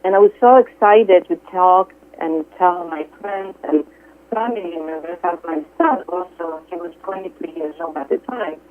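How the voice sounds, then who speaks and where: A middle-aged woman from Greece with a Greek accent, a guest speaking earnestly and haltingly, with many fillers, over the phone. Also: on the low side, slight background noise. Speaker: guest, Reiki healer. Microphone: telephone. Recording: tinny dry phone line.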